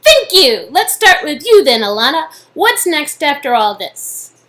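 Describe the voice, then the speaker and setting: A woman's voice: high voice, big pitch swings, a little slow, pushed and bright, slight background noise, voice pushed up, full character voice. A woman playing a peppy, bossy young optimist with an exuberant, emphatic delivery. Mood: rallying.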